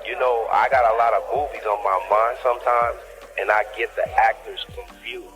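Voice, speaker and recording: A man's voice: mid-range voice, normal speed, a bit bright, poor audio. A man talking in slangy, veiled, insinuating conversation. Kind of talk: slangy phone-call conversation. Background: music.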